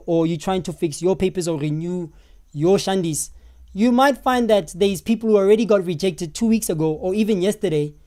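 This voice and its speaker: A hoarse South African man hosting a live stream, reading viewers' comments out loud and answering them in an animated way. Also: high-pitched. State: hoarse, losing his voice.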